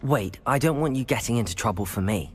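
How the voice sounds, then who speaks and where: An actor playing an eager young student with an earnest, quick manner. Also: male voice, mid-range voice, expressive, a little hiss or hum, lightly acted. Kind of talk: video game cutscene acting.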